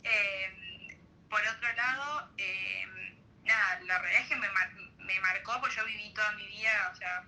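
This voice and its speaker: A woman's voice: mid-range voice, a little slow, sharp tone, low-quality recording. A young woman from Argentina answering interview questions sincerely, in a rambling, filler-heavy way.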